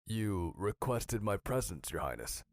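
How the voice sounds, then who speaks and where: A man acts as a deferential guard captain in an audio drama. His voice is fairly low and dryly recorded, with a formal, restrained delivery that stays guarded. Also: very animated, unhurried, no room sound, clean studio sound.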